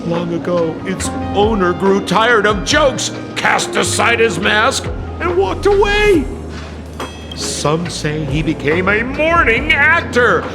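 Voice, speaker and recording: A sly, theatrical old tavern storyteller from a video game. His voice is high and slow, with teasing, dramatic delivery. Music plays clearly behind him. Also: full character voice. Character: theatrical, crafty old male tavern informant. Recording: loud music under the voice.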